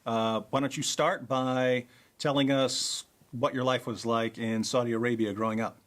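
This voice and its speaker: A man with a mid-range voice hosts a relaxed interview. He asks questions in a friendly, curious way, now and then speeding up or slowing down as he thinks. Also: mid-range voice. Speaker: host. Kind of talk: conversational interview.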